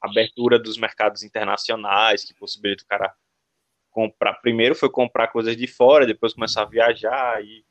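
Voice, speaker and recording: A man's voice: lowish voice, flat delivery, brisk, a bit bright, no echo, dull audio. A Brazilian man in casual chat, thinking aloud with many restarts and filler words.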